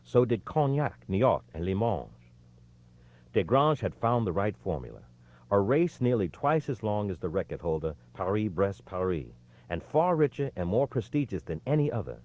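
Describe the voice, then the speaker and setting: Low-voiced male audiobook narrator, lively intonation. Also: moderate pace, dull audio. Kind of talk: scripted narration, reading a history book aloud.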